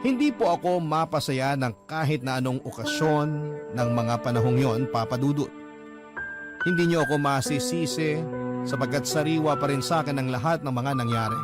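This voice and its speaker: A man's voice: medium pitch, lightly acted. A man narrating as an earnest grieving letter-writer. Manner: measured, earnest, respectful. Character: young man narrating his own grief in a letter. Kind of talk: scripted radio drama narration. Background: loud music.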